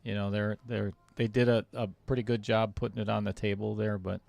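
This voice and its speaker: A man with a gravelly, creaky voice commentates a pool match. He talks casually and reads viewer comments between shots. The recording is dry and close. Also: fairly low pitch, level tone, a little fast, a little mellow, dry recording. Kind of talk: live stream commentary.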